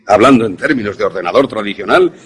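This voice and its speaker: A man playing an erudite, self-assured scientist in a suspense radio drama, explaining things formally and with deliberate pauses. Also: fairly low pitch, animated, slightly rough. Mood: proud, lecturing. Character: erudite, self-assured male scientist who creates ghost images.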